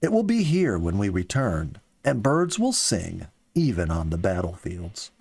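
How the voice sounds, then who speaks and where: A man reading the part of an earnest, philosophical young soldier in an audiobook, with slow, deliberate phrasing. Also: low voice, wildly swooping pitch, creaky, darkish tone, breathy edge, exaggerated voice. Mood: earnest, hopeful. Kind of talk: audiobook character dialogue.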